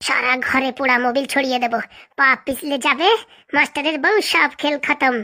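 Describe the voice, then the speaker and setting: A high, creaky-voiced jilted wife from a comedy cartoon, played by a woman. She rants fast and abusively, scheming revenge and gloating to herself. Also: fairly even intonation, bright, forceful tone. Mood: scheming, spiteful. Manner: ranting, gloating, talking to herself.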